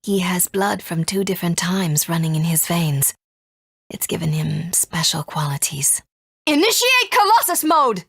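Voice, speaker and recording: A woman voicing a gentle, earnest mother and resistance fighter in a video game, soft and breathy, speaking in a measured, tender way. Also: lowish voice, sing-song, no echo, studio quality, exaggerated voice. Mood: commanding. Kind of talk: English video game voice acting.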